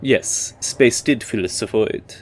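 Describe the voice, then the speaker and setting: A man playing a formal history professor in an audio roleplay, lecturing in a measured, deliberate manner. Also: medium pitch, close to an everyday voice. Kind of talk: roleplay audio drama acting.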